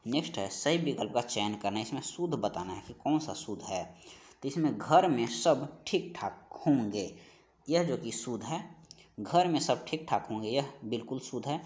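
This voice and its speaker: A male teacher with a medium-pitched voice takes learners through exam questions in a didactic way. He reads each item aloud, repeats it, and stretches out the key phrases before giving the answer. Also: expressive, normal speed, a little mellow. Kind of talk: Hindi grammar exam-prep lesson, reading out questions and answers.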